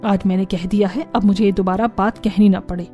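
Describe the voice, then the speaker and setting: Lady acting as a strict cartoon mother-in-law, firm and mellow, music behind. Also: mid-range voice, steady tone, fairly quick, lightly acted. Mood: commanding. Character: strict mother-in-law devoted to her favorite food.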